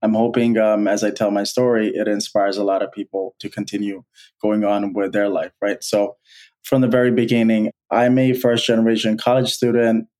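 A man in his thirties from Bangladesh, a guest telling his life story in an earnest, halting way with many restarts. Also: fairly low pitch, level tone, a little mellow, poor audio. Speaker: guest, college alumnus. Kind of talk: personal storytelling interview.

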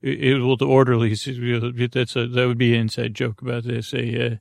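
A man hosting a bedtime podcast with a creaky voice, rambling in a lulling, hesitant, meandering way that keeps drifting into tangents. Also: mid-range voice, flat delivery, brisk, dry recording, studio quality. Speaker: podcast host. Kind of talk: rambling bedtime monologue for sleep.

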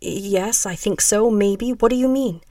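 A woman's voice: medium pitch, a little slow, warm tone, dry recording, recorded from a distance, lightly acted. A woman voicing a desperate, confused but defiant young heroine with an earnest, pleading manner in an audiobook.

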